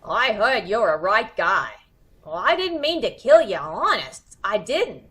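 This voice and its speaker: A woman's voice: mid-range voice, very animated, unhurried, bright, forceful tone. A woman playing a tough, slangy, lovesick would-be killer in an old-time radio comedy mystery, with melodramatic delivery. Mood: sheepish, pleading. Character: cute, tough, lovesick female would-be killer, excitable gangland moll.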